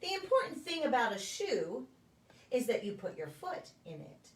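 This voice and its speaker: A woman reading a children's picture book aloud in a deliberate, sing-song storytelling way for preschoolers. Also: fairly high pitch, very animated. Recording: echoey room, distant microphone.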